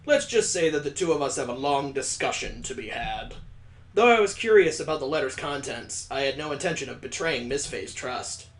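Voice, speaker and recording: A man's voice: medium pitch, expressive, moderate pace. A man hosting a let's play, reading a visual novel aloud with playful, joking asides.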